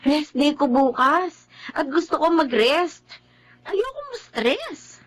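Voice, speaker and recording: An actress playing a vain, sharp-tongued young woman who scolds and dismisses people around her. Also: sits high, very animated, slow pace, heavily acted. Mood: dismissive. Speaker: lead character in a drama.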